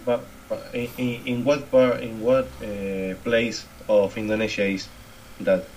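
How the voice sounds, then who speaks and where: A man in his twenties from Argentina with an Argentine Spanish accent, chatting casually and haltingly in English as a second language, often repeating words. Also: on the low side, level tone, a little mellow. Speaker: English learner in conversation practice. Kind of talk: casual chat. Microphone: online voice call. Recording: noisy online call.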